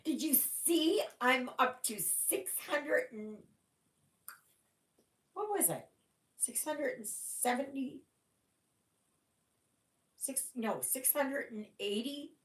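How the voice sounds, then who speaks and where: A woman's voice: high-pitched, lively intonation, slow, pauses often, fairly bright tone, roomy sound. A Canadian woman whose first language was German, hosting a casual stitching livestream and chatting in a rambling way full of "oh my gosh".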